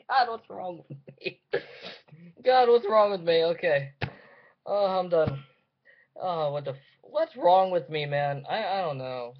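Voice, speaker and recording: A young man reacting casually in halting, self-mocking, sweary chat on a webcam mic. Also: high voice, animated. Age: young adult. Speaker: reaction video host. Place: small dim room indoors. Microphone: webcam or computer mic at desk distance. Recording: dull lo-fi webcam audio.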